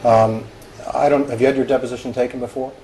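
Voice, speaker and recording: A man questioning a witness in a formal deposition, halting and full of hesitations and restarts. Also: medium pitch, level tone, warm tone. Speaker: examining attorney. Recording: echoey room with background noise.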